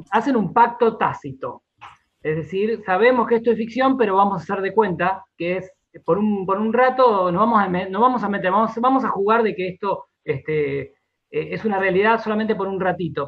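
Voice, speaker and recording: An Argentine man with a high voice answers as an interview guest. He explains his thoughts in repeated fragments and often ends them with a short tag question. The sound is somewhat dull and lo-fi. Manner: repeats words, checks in with "¿no?" and "¿sí?". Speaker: radio drama director, interview guest.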